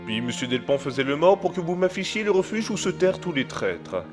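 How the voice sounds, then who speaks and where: A man as a calm, scheming crime boss; coldly formal, animated pitch, slightly high, music bed. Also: brisk. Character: cold scheming male crime boss, middle-aged. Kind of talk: amateur audio drama acting. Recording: music underneath.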